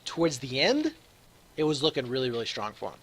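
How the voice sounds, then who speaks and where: A young man doing solo play-by-play commentary on an online shooter match, his voice slightly raspy from a long day, filling pauses with "uh" and getting excited on big plays. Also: medium pitch, slight background noise. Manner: chatty, fills with "uh", grows excited on big plays. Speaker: shoutcaster.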